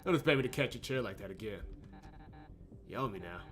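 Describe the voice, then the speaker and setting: A man's voice: fairly low pitch, expressive, quick pace, fairly bright tone, faint music behind. Man acting out a brash, cocky drinking buddy. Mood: teasing. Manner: teasing, cocky, swaggering. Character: brash, foul-mouthed rowdy drinking buddy in a visual novel. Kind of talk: acted-out game dialogue.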